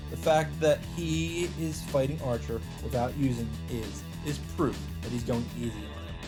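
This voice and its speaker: Male let's player, dramatic story reading, mid-range voice, plucked-string game music clearly behind. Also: medium pitch, low-quality recording. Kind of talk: dramatic reading of visual novel narration.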